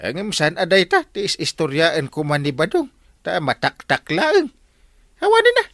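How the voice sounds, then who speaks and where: Wildly swooping comic skeptical villager. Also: male voice, a little high, big pitch swings, moderate pace, a bit bright, no room sound, studio quality. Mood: dismissive. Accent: Ilocano Filipino. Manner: hesitant interjections, exaggerated swoops. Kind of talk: Filipino comedy radio drama acting.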